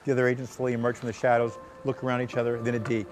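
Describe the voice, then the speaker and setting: Man, script narrator, brisk pace, middle pitch, reading directions aloud, faint music. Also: monotone. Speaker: script narrator at a table read.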